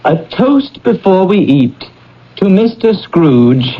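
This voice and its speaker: A gentle, humble family father in an old radio play. His voice is husky and a little high, with a tender, earnest way of speaking. The broadcast sounds muffled. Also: very animated, takes it slowly, full character voice. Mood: warm, festive. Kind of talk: old-time radio drama acting.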